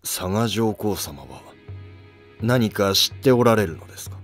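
A loyal, deferential nobleman , acted by a man in an animated period drama. He speaks formally in a low, unhurried voice, concerned and respectful. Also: faint music behind. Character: loyal, deferential, concerned male court retainer. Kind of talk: Japanese historical animated drama acting.

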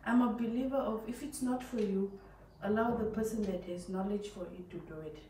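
A woman's voice: mid-range voice, fairly quick, mellow, slight background noise. A Namibian woman vlogging at home, chatting to her viewers in a rambling way while she assembles a rack and tidies up. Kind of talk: casual home vlog chat. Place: tiled bathroom at home. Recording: distant, very echoey home room.

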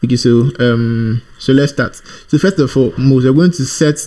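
A man with a mid-pitched voice walks through a coding tutorial in an informal way. He thinks aloud as he works, halting between short phrases and often repeating himself. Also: mellow. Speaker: programming tutorial presenter.

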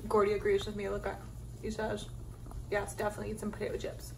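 A woman's voice: medium pitch, monotone, fast talker, darkish tone, far from the mic. An American woman in her thirties chatting informally as she gives a casual home cooking demonstration. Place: home kitchen, some parts in a backyard garden. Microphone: phone or camera at arm's length. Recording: echoey kitchen, clear background noise.

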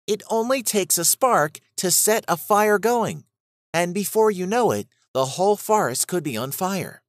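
Guy putting on an earnest lady bunny from a storybook, high pitched, bossy and emphatic. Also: lively intonation, a little slow, dry recording, studio quality. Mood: scolding. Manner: emphatic, admonishing. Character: earnest scolding female rabbit friend. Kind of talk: children's storybook character.

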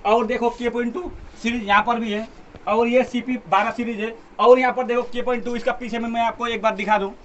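A man's voice: extremely high pitch, little pitch movement, a little fast, a bit bright, dull audio, a little hiss or hum. A young man from India casually presenting products to camera as a video host, emphatic and repetitive, addressing viewers like brothers. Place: indoor electronics showroom.

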